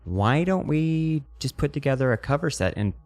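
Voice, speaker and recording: A man's voice: a little high, animated, normal speed, a little mellow. A young adult man co-hosting a podcast, telling a story in a casual, slangy way with plenty of swearing. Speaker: podcast co-host. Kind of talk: casual chat, anecdote.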